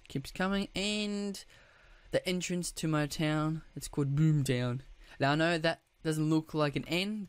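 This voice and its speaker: A man with a croaky, just-woken-up voice, giving casual gameplay commentary, rambling with restarts and throat clearing. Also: a little high, no echo. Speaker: gaming YouTuber.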